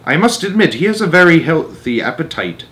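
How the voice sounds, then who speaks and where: Man playing an elderly grandmother, storybook character, unruffled, sly, matter-of-fact, lively melody. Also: on the high side, brisk, pitched above the performer's own voice. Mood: calm. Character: calm, sly old Norwegian grandmother.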